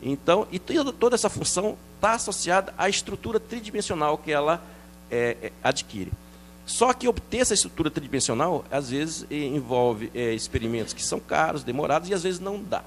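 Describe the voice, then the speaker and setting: A middle-aged man from France, a researcher explaining his work in a TV interview, quick and animated, with many restarts and fillers. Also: on the high side, slight background noise. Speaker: researcher guest.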